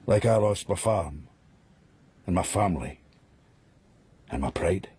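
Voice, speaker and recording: An actor playing a bitter, mocking, drinking outcast in a radio drama, husky and sardonic. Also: male voice, low-pitched, animated, unhurried, mellow, full character voice. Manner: sardonic, brooding.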